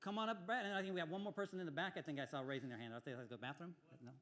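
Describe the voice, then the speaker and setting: A man giving feedback at a conference workshop, his voice a touch high. He explains patiently, circling back and restarting his sentences as he goes. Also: racing, fairly bright tone. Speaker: speech coach. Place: conference room with audience.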